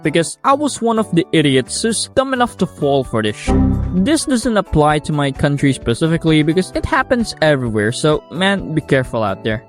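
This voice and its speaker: A man's voice: fairly high pitch, very animated, fairly quick, faint music behind. A man with a Filipino accent, delivering a scripted commentary in a wry, blunt and sarcastic way. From Philippines. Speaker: YouTuber. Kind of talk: scripted video-essay commentary.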